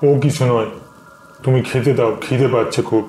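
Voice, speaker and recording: A man's voice: mid-range voice, steady tone, moderate pace, warm tone, lightly acted. A man voicing an eerie possessed uncle in a horror cartoon, speaking in a curt, dismissive way. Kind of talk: cartoon horror story voice acting. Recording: echoey, faint noise behind.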